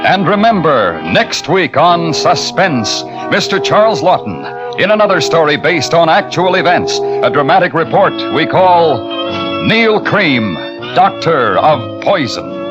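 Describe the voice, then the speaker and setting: A man makes formal, measured announcements in a lively-pitched voice. Loud music plays behind him, and the sound is dull and lo-fi, like a vintage radio broadcast. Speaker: program announcer.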